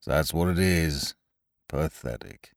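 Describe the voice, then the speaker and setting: A gloomy old grey donkey, put on by a man reading a storybook, his voice sunk low and muttered softly. He drags out each word in a mournful, self-pitying sigh, on a dry, close recording. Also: slow, creaky, no room sound, exaggerated voice. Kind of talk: audiobook character voice.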